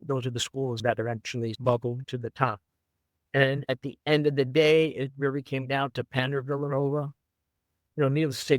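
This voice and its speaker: An older man from Brooklyn, a former runner and coach, telling stories in an interview in an unhurried, fond way with many fillers. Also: medium pitch, no room sound. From Brooklyn, New York. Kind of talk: reflective storytelling.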